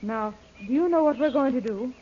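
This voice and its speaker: A woman's voice: high-pitched, animated, a little fast, slight background noise. An actress playing a headstrong young woman in a jungle adventure radio serial, dramatic and insistent. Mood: determined. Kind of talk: old-time radio serial acting. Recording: dull lo-fi old radio recording.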